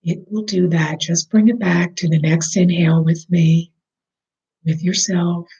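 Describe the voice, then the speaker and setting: A meditation guide leading a slow, soothing breathing meditation, speaking softly and at times almost in a whisper. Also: mid-range voice, steady tone, lo-fi sound.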